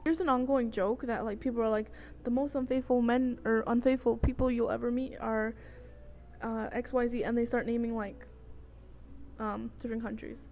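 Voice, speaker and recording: A woman's voice: on the high side, fairly even intonation, moderate pace, warm tone. A woman in her twenties telling a personal story in a casual, chatty way, wandering into tangents and often checking in with the listener. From El Salvador. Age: 20s. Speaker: vlogger telling personal stories. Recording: noticeable noise, homemade vlog audio.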